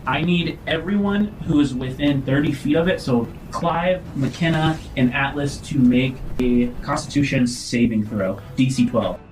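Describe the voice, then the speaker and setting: A man's voice: mid-range voice, a little slow, few pauses, rough recording. A man narrating a tabletop game as game master, descriptive and feeling his way through phrases.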